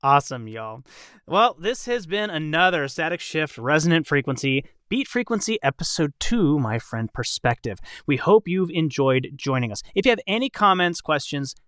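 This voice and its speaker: A man's voice: a little high, sing-song, normal speed, fairly bright tone, no echo, clean studio sound. A man hosting a casual podcast panel, talking in a rambling way and qualifying himself as he goes. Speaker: podcast host and voice actor.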